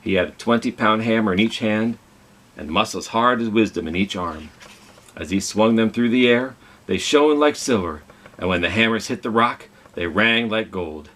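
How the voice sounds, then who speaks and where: A man's voice: lowish voice, normal speed, a bit bright. A man reading a picture book aloud as a children's storytime host, telling it dramatically and putting questions to his young listeners. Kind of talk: expressive children's read-aloud.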